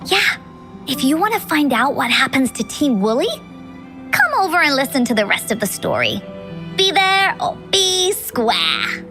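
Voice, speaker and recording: A woman voicing a chirpy, imaginative little girl storyteller in a video game, with a childlike, expressive delivery. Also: high-pitched, a little slow, soft background music, heavily acted. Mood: chirpy, inviting. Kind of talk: scripted video game dialogue.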